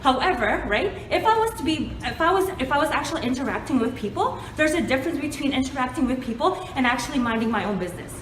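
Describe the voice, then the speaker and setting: A woman's voice: high-pitched, expressive, fast talker, few pauses. A woman in a public building argues indignantly and defensively about being filmed, often restarting her sentences. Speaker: bank customer being filmed. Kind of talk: heated argument. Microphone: phone camera held by another person. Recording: echoey room from a distance, faint noise.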